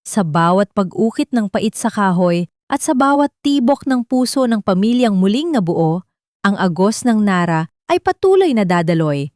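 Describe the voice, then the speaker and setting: A woman's voice: medium pitch, very animated, normal speed, a little mellow, no echo. Woman narrating a sentimental story, dry sound. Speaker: narrator of audio love stories. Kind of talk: scripted dramatic storytelling narration.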